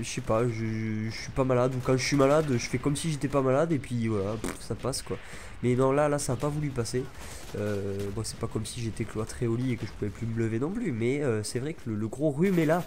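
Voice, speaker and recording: A man's voice: medium pitch, quick pace, a little mellow, slight background noise. A young man from France with a stuffy head cold, rambling chattily over his gameplay with many fillers. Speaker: gaming YouTuber. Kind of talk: gameplay commentary.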